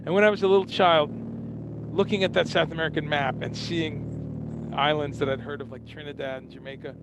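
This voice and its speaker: Host, 50s man from Guyana, slightly high voice, loose and rambling, street hum audible behind. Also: steady tone, fairly quick. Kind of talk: casual talk-show intro monologue.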